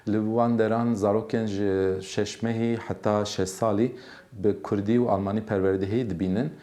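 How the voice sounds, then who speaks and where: Man with a low, dark voice. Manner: halting, with filler pauses. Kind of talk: interview statement.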